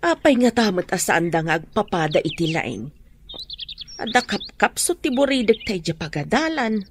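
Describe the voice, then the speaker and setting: A woman's voice: mid-range voice, very animated, normal speed, noisy background. An actress playing a middle-aged rural mother who defends her children's schooling, speaking earnestly. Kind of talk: radio drama acting.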